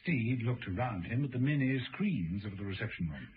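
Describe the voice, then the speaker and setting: Male radio-drama narrator. Mid-pitched, animated scripted storytelling, muffled vintage recording. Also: normal speed, noisy background.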